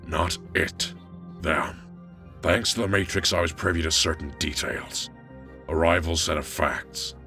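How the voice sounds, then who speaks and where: A man playing an ancient, weary robot leader in an audio drama, speaking gravely and with measure. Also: deep voice, steady tone, measured pace, sharp tone, far from the mic, soft background music. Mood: solemn, weary.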